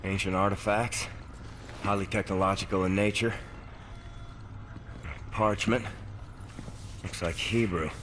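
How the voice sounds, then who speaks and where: A man playing a gruff, guarded soldier in a video game, speaking in terse, clipped phrases. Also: sits low, steady tone, unhurried, long pauses, background noise. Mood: focused, wary.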